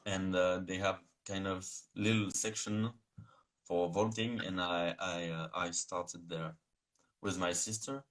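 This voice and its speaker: A young man from France with a French accent, answering interview questions in English over a video call, halting and searching for words. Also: deep voice, fairly even intonation, a bit bright. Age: young adult. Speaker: guest.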